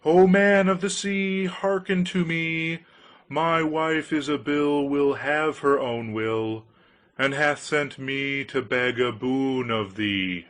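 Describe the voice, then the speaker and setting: A man's voice: fairly high pitch, slow, a bit bright. A man voicing a meek, reluctant poor fisherman in a storybook, hesitant and doleful. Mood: solemn pleading.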